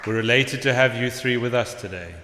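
A man's voice: medium pitch, brisk, pushed and bright, some chatter behind, a little hiss or hum, lightly acted. Man playing a formal professor in an echoing hall. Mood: formal, welcoming. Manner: formal, pompous. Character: polished, courteous male professor moderating a panel. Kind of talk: formal introductions in a radio play. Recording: echoey auditorium with long reverb.

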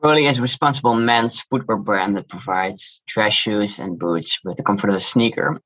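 A man with a Dutch accent, founder of a shoe brand, giving measured, businesslike interview answers. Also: fairly low pitch, bright, forceful tone, slightly rough, rough recording. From Netherlands.

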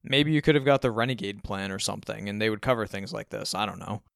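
A man voicing an unemotional, logical businessman father in an audiobook, calm, dry and matter-of-fact. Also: fairly low pitch, fast talker, vocal fry, smooth, dry recording. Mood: unmoved. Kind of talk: audiobook character dialogue.

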